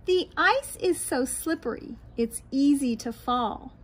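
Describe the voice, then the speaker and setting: A middle-aged American woman reading a picture book aloud to young children in an expressive, sing-song way, outdoors on a patio. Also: high-pitched, takes it slowly. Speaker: children's read-aloud host, teacher. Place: small backyard patio, outdoors. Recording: light outdoor background noise.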